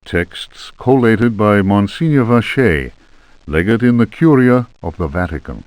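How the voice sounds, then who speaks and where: A solemn reader of forbidden tomes in a video game speaks very slowly and portentously. His voice is fairly low, with big swings in pitch. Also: lively intonation, dry recording. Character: solemn male announcer of forbidden religious tomes. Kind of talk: slow, portentous title reading.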